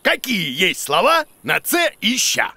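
A blustery cartoon monster teacher, high n gravelly, excitable and muddled, kids show. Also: male voice, measured pace, noisy background, full character voice. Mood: confidently muddled. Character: blustery, absurd, bossy male monster teacher for children. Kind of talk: children's educational cartoon acting.

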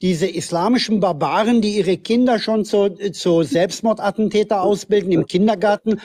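A man with a high-pitched voice argues combatively in a heated live-stream debate. He talks over the other callers and sounds scornful. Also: normal speed, a little mellow. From Germany. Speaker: guest debater.

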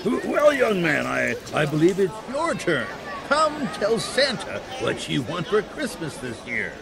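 An actor playing a kindly, bumbling old Santa Claus in a family audio drama, gentle and a little stammering. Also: male voice, high-pitched, huge pitch swings, measured pace, gravelly, a crowd in the background, full character voice. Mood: jovial, flustered.

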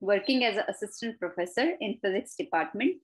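A woman's voice: medium pitch, expressive, a little fast, husky, rough recording. A woman assistant professor from India giving a friendly, methodical self-introduction to camera.

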